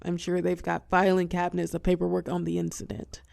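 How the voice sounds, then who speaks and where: Low, creaky-voiced female telepath villain, voiced by an actress. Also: completely flat delivery, darkish tone, no echo. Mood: reluctant, resigned. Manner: soothing pet names, wry bitterness, dramatic pauses, drops into whispers. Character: weary, tender yet menacing female telepath supervillain, fallen hero. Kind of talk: intimate scripted audio roleplay monologue.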